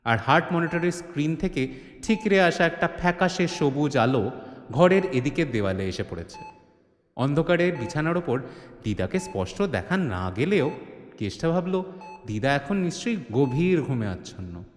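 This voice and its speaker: A man's voice: fairly high pitch, big pitch swings, moderate pace. A man narrating an audio drama as a suspenseful, theatrical storyteller. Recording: echoey, long reverb, music behind. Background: music.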